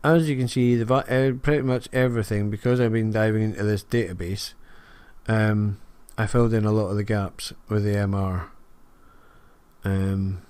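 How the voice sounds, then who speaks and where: A man's voice: lowish voice, a little mellow. A man giving rambling, self-deprecating commentary over a farming game, full of fillers. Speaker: gaming video creator. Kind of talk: casual gameplay commentary.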